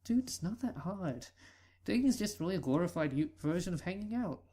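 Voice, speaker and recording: A man's voice: high-pitched, sing-song, fairly quick, dark tone, slightly rough, pitched above the performer's own voice, exaggerated voice. Man doing a laid-back teasing unicorn stallion. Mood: reassuring. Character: easygoing young male unicorn, teasing best friend.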